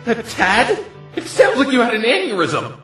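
A man's voice: extremely high pitch, wildly swooping pitch, moderate pace, fairly bright tone, faint music behind, full character voice. A man playing a dry, sarcastic, easily exasperated young defense lawyer in a fan-dubbed visual novel. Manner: dry, sardonic. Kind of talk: fan-dub visual novel character acting.

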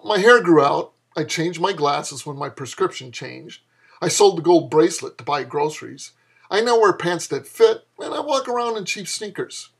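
A man's voice: fairly high pitch, creaky, breathy edge. A man in his fifties giving a dramatic reading of his own dialogue-driven fiction in a wry, exasperated manner. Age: 50s. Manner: wry, exasperated delivery of an older mentor's lines.

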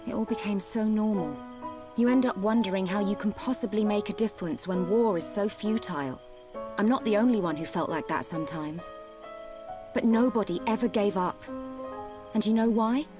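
An actress playing a steadfast, caring young woman soldier with a British accent, speaking in an earnest, measured way in a video game. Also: mid-range voice, natural voice. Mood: sombre, resolute. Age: young adult. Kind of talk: video game cutscene acting. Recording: game music behind the voice. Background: game soundtrack music.

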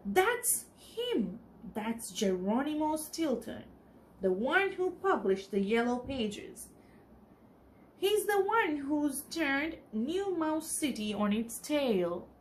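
A woman voicing a fierce-looking male mouse who shouts accusations out of an angry crowd. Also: sits high, very animated, takes it slowly, slight background noise, voice pushed up, exaggerated voice, low-quality recording. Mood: accusing.

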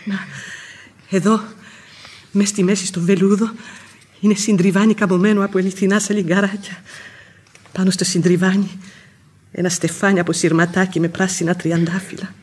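An actress playing a humble, devoted, sharp-tongued housemaid in a vintage Greek radio play. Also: female voice, medium pitch, little pitch movement, long pauses, breathy edge, natural voice. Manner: plain-spoken, self-effacing. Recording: old radio recording with background noise.

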